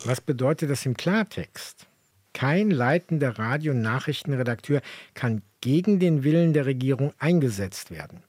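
A man co-hosting a history program, explaining in a measured way. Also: mid-range voice, darkish tone, slightly rough, dry recording, clean studio sound. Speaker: co-host of a history podcast. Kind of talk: explanatory radio history narration.

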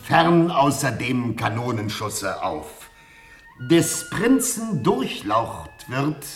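Field marshal: formal, stern, declamatory dictation, deliberate pace, sweeping intonation, period stage style. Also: male voice, mid-range voice, sing-song, soft background music, heavily acted. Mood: commanding. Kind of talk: classic German radio play stage acting.

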